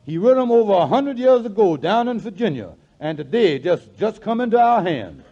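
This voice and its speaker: A man's voice: sits high, big pitch swings, moderate pace, warm tone, exaggerated voice. A man playing a scheming, blustery small-time con artist in 1940s radio comedy, with bossy, emphatic delivery and mangled folksy grammar. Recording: muffled vintage 1940s radio recording.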